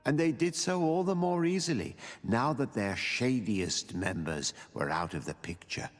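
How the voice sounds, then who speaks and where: Husky, solemn older male storyteller. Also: mid-range voice, expressive. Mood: solemn, fond. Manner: measured, formal storytelling. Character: older male mentor solemnly narrating the epilogue, measured and fond. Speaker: narrator. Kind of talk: scripted narration of a fantasy game epilogue. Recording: long reverb under clear music. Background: music.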